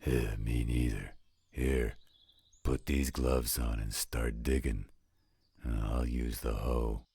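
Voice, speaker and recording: Man voicing a gravelly, rock-bottom werewolf stepfather. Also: rumbling low, level tone, dark tone, no room sound, heavily acted. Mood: dry, gruff. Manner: gruff, dry, unhurried. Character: gruff, patient older werewolf stepfather. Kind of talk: scripted roleplay audio drama.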